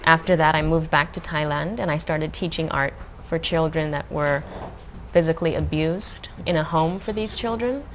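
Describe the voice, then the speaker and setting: A young adult woman from the Philippines, a guest answering interview questions in a calm, measured way. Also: deep voice. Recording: faint background noise.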